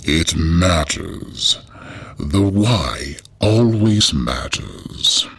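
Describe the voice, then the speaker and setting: Solemn revenant jailer: very slow, gravelly, imposing, swinging intonation, audio fiction. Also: male voice, low voice, sing-song, fairly bright tone, full character voice. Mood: grave, insistent. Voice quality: gravelly, husky. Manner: slow, weighty, deliberate delivery.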